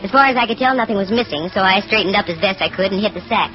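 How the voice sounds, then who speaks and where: A sharp, wisecracking American lady detective, acted by a woman in 1940s radio drama. She talks in breezy slang with a wry edge, over music on a dull old recording. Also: mid-range voice, rapid-fire, close to an everyday voice. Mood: wry narrating. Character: sharp-witted wisecracking female private detective.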